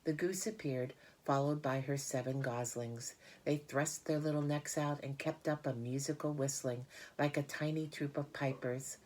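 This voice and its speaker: A woman with a very deep voice narrates a children's storybook. She reads from the text as an audiobook reader, her pitch sitting unusually low for a woman. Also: fairly even intonation, normal speed, hardly any pauses, darkish tone.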